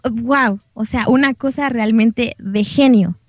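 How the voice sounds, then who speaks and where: A woman's voice: a little high, takes it slowly, darkish tone. A young adult woman with a Mexican accent, chatting casually and enthusiastically as a guest in an interview.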